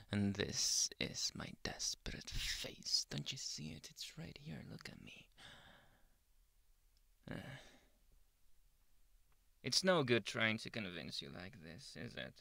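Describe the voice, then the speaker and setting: Man acting a slow, grumpy yandere boyfriend, gravelly. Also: medium pitch, bright, forceful tone, no room sound, exaggerated voice. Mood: pleading, indignant. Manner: brooding, theatrical, scolding, dropping often into breathy whispers. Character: grumpy possessive yandere boyfriend, young man, menacing yet smitten. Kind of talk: ASMR boyfriend roleplay. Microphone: close ASMR microphone.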